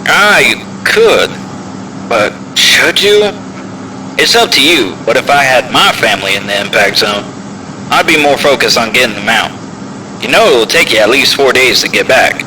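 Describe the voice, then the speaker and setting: A man playing a steady, seasoned trucker giving frank, measured advice in CB slang in an audio drama. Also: mid-range voice, lightly acted. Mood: calm, advising. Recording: noisy CB radio in truck cab.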